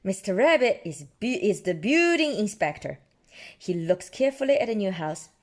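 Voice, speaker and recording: A woman storyteller reading children's picture books aloud in an expressive, deliberate way, adding her own small asides. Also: medium pitch. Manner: expressive, deliberate delivery for toddlers. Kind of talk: children's read-aloud narration with ad-libbed asides.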